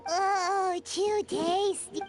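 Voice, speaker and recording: Very high-voiced, earnest girl. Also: very slow, over music, exaggerated voice. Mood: cheerful. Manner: polite, expressive. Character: bright, polite, earnest girl student. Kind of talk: anime-style video game voice acting.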